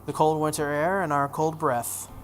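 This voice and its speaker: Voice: mid-range voice, steady tone, normal speed. A playthrough host reads a visual novel aloud in a mid-range voice. The delivery is dramatic narration mixed with casual asides. There is a light layer of noise on the recording. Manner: acts out dialogue, breaks off for offhand comments.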